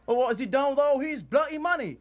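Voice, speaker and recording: A man's voice: very high voice, lively intonation, fast. An actor playing a flippant, callous businessman in a radio drama, breezy and glib. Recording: dull student radio recording.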